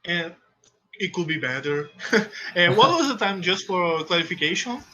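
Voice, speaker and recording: A man with a Portuguese accent, a native Portuguese speaker, commentating his own speedrun live in halting English that often restarts mid-phrase. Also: high-pitched, animated, pushed and bright.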